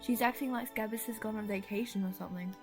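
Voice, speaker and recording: A woman playing a blunt, excitable teenage schoolboy in an audio drama. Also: mid-range voice, fast talker, soft background music, close to an everyday voice. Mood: sulky.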